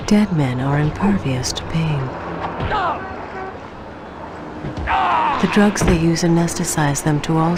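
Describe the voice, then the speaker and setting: A grave storyteller for a violent video game, her voice low and solemn. She delivers scripted narration with ominous drama, over clear background noise. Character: grave adult woman narrator recounting a hunter's bloody tale.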